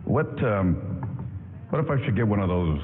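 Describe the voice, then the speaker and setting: An actor playing an anxious, unsure army sergeant in hospital, in old-time radio style. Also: male voice, on the low side, very animated, moderate pace. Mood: uneasy. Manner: hesitant, unsure. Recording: phone-like broadcast from reverberant hall.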